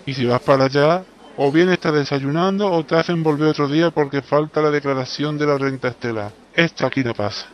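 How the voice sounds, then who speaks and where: Man acting a high-voiced, boastful Spanish salesman. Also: a little fast, lo-fi sound, close to an everyday voice. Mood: confiding, know-it-all. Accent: Spanish (Spain). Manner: exclamatory, know-it-all. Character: boastful, absent-minded male travelling salesman. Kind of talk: comic radio play acting.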